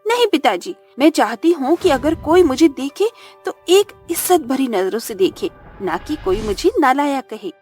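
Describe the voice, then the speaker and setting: A woman voices a stubborn, earnest little daughter in a cartoon tale. The voice is extremely high, girlish and insistent, over soft music. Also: very animated, normal speed, hardly any pauses. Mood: earnest pleading. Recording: background music under the voice.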